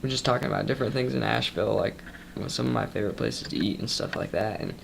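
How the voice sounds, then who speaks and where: A man's voice: fairly low pitch, quick pace, vocal fry, background noise. A young man giving a casual, rambling vlog update, stuffed up from a cold and tired after a long shift, talking loosely with lots of ums and asides. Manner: loose and chatty, full of ums and trailing asides. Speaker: vlogger.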